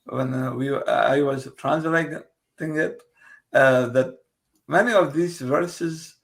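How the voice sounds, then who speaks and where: Slow gravelly-voiced man, Kurdish native. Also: a little high. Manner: halting, many fillers and restarts, slow and careful. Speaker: host presenting his book. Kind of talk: casual video interview.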